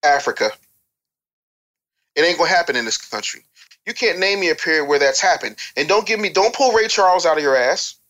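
Panelist, medium pitch, emphatic and lecturing, argues points through rhetorical questions. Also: expressive, brisk, a bit bright. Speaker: talk show panelist. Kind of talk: opinionated music-industry discussion.

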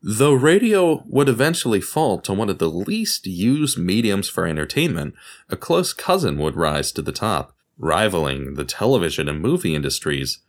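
A man narrating a scripted documentary podcast, telling it like a story with deliberate dramatic emphasis. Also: deep voice, very animated.

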